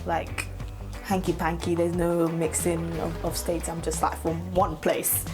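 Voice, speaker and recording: A woman's voice: on the low side, little pitch movement, unhurried, over music. A woman, talking casually to her camera and laughing often as she chats. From Nigeria. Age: 20s. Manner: chatty, laughs often, playful asides. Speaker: YouTuber. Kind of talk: casual get-to-know-me vlog.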